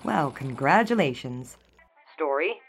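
An American woman playing a brisk, unimpressed 1920s newspaper office worker with a dry, sarcastic manner on the telephone. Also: sits low, expressive, slightly rough, faint music behind, exaggerated voice. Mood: sardonic. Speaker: actress in an audio drama.

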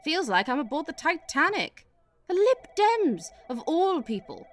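A harried , played by a woman in a radio play. She speaks in a fairly high voice, exasperated and halting, picking careful political phrases and slipping into swearing. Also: very animated, faint music behind. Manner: exasperated, stammering politician's hedging. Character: harried, sweary British Prime Minister, a woman.

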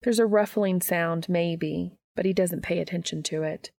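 A woman's voice: on the low side, steady tone, moderate pace, vocal fry, darkish tone, no room sound, clean studio sound. A woman reading a scripted story as an audiobook-style narrator. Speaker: audiobook narrator of fan fiction.

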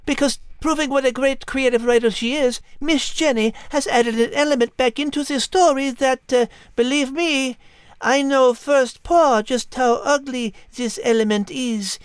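Woman as a high-voiced refined French cat. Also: normal speed, clear, resonant tone, no room sound, natural voice. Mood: earnest. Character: refined, sensible French female cat, politely exasperated. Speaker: co-host in character. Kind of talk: scripted podcast banter and storytelling.

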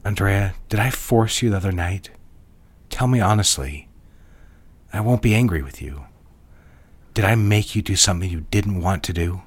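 An actor in an audio drama playing a controlling, possessive man who speaks slowly and quietly in a commanding tone. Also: low voice, breathy edge. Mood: pressing. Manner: slow, hushed, commanding.

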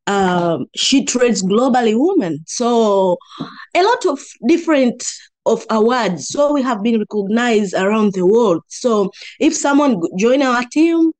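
A woman's voice: on the high side, expressive, measured pace, a little mellow. A woman from Tanzania with a Swahili-accented English, speaking earnestly as an interview guest on a call and laughing often. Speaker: guest, entrepreneur. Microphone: video or phone call.

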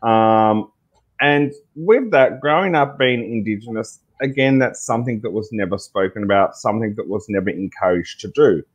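An Australian man with a fairly low voice talks in an interview as an advocate. He is earnest and conversational, restating his points in parallel phrases to drive them home. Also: normal speed, breathy edge, muffled recording. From Tasmania, Australia. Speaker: interview guest, mental health advocate.

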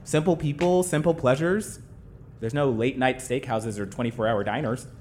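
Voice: medium pitch, moderate pace, a little mellow, close to an everyday voice. An earnest actor playing a small-town local, mild but stubbornly insistent, with a mid-range voice. It is naturalistic audio drama with faint noise behind. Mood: earnest, placating.

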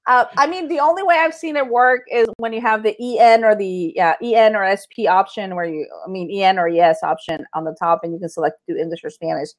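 A cheerful woman who grew up in Costa Rica talks shop as a guest on a live video show. She is relaxed and chatty, laughing often, her voice sitting at an ordinary middle pitch. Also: fast talker, few pauses. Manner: bubbly, laughs often, bilingual. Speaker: guest, marketing consultant. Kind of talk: casual livestream chat.

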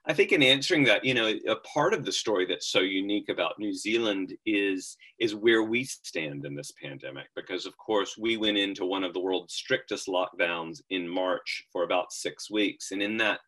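A man's voice: low voice, moderate pace, hardly any pauses, sharp tone. A middle-aged man with an American accent, a lodge owner talking enthusiastically in an interview over a call, with frequent restarts and fillers.

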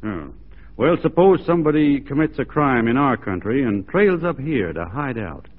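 An actor playing a folksy, easygoing Texas Ranger with a drawling Texan accent, in 1940s radio drama style. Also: male voice, medium pitch, big pitch swings, moderate pace. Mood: casually bold. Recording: tinny, noisy 1940s radio recording.

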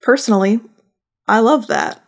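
A woman's voice: fairly high pitch, very animated, moderate pace, creaky. A woman hosting a podcast with scripted but conversational narration and a playful, dry sense of humor. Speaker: podcast host.

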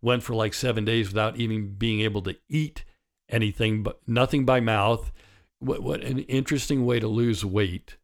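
Raspy sixty-year-old man hosting a podcast. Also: on the low side, steady tone, normal speed. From United States. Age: 60s. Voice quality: raspy from a partially paralyzed vocal cord. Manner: candid, wry and conversational, with chuckles. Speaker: podcast host. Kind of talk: reflective solo podcast monologue.

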